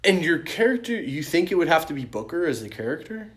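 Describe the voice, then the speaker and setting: A man co-hosting a podcast in casual chat, animated and freely swearing. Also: on the high side, fast talker, slight background noise. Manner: profane, animated. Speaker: podcast host.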